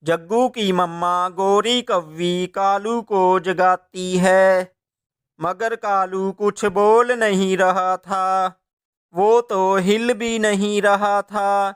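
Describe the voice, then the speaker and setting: Very slow, clear storytelling narrator, male. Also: high-pitched, steady tone, a bit bright. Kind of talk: scripted children's story narration.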